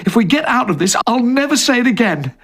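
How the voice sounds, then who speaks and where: A terrified, exasperated woman in an audio story as a man acts her out. She blurts and curses in a lifted, breathy, swooping voice, full of despair. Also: very high voice, sing-song, fast, fairly bright tone, voice pushed up, heavily acted. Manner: blurting, repetitive swearing. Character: frightened, sweary woman traveller, bitter and despairing.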